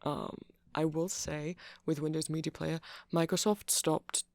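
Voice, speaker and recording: Man playing a creaky-voiced nerdy vampire, rambling gently. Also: fairly high pitch, level tone, a little slow, no echo, studio quality. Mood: earnest explaining. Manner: hesitant, meandering, explanatory. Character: nerdy male vampire, rambling tech enthusiast, gentle boyfriend. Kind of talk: ASMR roleplay, rambling tutorial. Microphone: close mic, dry.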